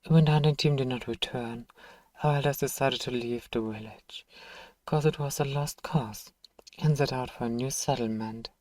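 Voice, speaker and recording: A man playing a soft, distant, ancient vampire. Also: mid-range voice, steady tone, normal speed, no echo, close to an everyday voice. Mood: haunted, wistful. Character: thousand-year-old male vampire, nostalgic, tender, sorrowful. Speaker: ASMR role-play performer. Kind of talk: scripted ASMR storytelling role-play.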